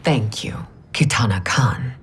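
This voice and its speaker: An actress playing a regal, ruthless empress in a video game, formal and commanding. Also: female voice, a bass voice, takes it slowly, a little hiss or hum. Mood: solemn, formal. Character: regal, ruthless empress and mother, imperious and cold. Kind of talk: dramatic video game acting.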